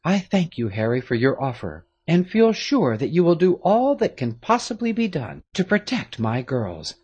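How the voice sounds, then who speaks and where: A gentle noblewoman speaks tenderly to her family, as a man voices her. The husky voice is pitched high and moves widely. It is a storybook figure. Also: huge pitch swings, normal speed, muffled recording, full character voice. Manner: soft, tender. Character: gentle noble French mother, Marquise.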